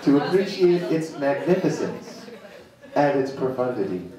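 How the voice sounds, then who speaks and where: A man giving a theatrical live spoken-word reading of a monologue, with emphatic dramatic pauses and a playful manner. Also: medium pitch, big pitch swings. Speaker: reader performing a written monologue. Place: indoor jazz club stage, live event. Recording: very echoey live venue, distant mic, noisy.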